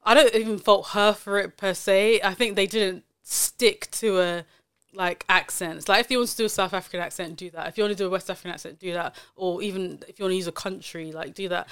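A woman's voice: medium pitch, steady tone, brisk, a bit bright, clear, resonant tone, dry recording, clean studio sound. A woman hosting a casual podcast chat, talking loosely with lots of fillers and restarts. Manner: chatty, many fillers and self-corrections. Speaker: podcast host.

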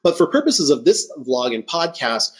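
A man hosts a travel podcast in a casual interview style, upbeat and quick to laugh. His voice is a little husky and breathy, sitting at a middle pitch. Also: sing-song, a bit bright. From California. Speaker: podcast host.

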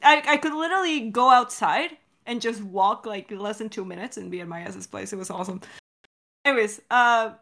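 Bright-voiced young woman, chatting casually. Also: medium pitch, moderate pace. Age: young adult. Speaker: podcast creator, co-host of a Q&A. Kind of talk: casual chat. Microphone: recorded over Discord call. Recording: online voice call.

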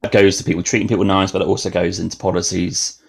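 A man's voice: low-pitched, little pitch movement, fast, vocal fry, breathy edge. A man hosting a podcast, speaking in a casual, agreeable conversational way. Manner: agreeable, frequent short affirmations. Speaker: podcast host.

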